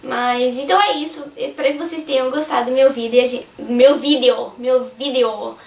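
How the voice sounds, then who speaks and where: Hoarse brazilian girl rambling tips to her viewers, high voice, mic kinda far in an echoey room. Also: fairly even intonation, normal speed. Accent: Brazilian Portuguese. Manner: chatty, rambling, addresses viewers directly. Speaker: vlogger. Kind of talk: casual advice vlog.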